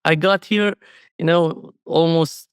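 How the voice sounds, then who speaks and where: A man's voice: a little high, fairly even intonation, takes it slowly, smooth, no room sound. Iranian-born man, hesitant, dry close sound. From Iran. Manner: hesitant, many restarts and fillers. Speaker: host of another channel, guest. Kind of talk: casual conversational interview. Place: in Iran.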